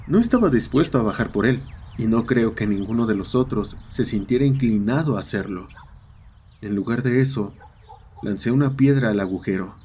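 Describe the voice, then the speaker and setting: A man's voice: mid-range voice, normal speed, warm tone, slightly rough. A man narrating a scripted horror story. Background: ambient sound effects.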